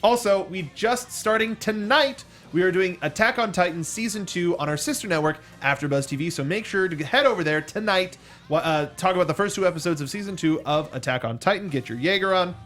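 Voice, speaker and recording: A man's voice: high-pitched, a little fast, fairly bright tone, soft background music. A man hosting a review show in a casual, informal and enthusiastic way. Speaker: show host.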